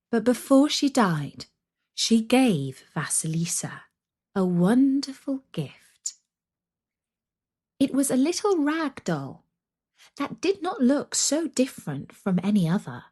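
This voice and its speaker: A woman narrating a scripted children's story in a dramatic, deliberate way, with breathy delivery and suspenseful pauses. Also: medium pitch, darkish tone.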